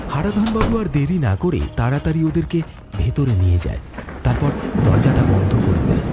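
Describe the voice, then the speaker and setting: A man narrating a scripted horror story in an expressive storytelling manner. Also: mid-range voice. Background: music.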